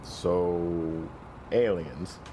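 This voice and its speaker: An actor playing a steady male gunman in an audio drama, giving short, commanding lines. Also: deep voice, drawn-out words, noisy background. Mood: grim, terse. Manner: terse, commanding.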